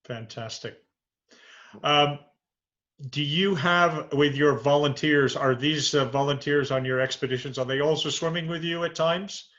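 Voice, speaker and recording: Bright-voiced man on a muffled call. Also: a little high, a little slow. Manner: curious, stumbling restarts. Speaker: interviewer, show host. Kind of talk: conversational interview questions.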